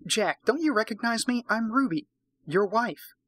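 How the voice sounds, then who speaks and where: A man's voice: sits high, animated, moderate pace, fairly bright tone, dry recording, voice pushed up. A man voicing an indignant, bewildered modern wife from a romance novel in an exasperated, pleading way.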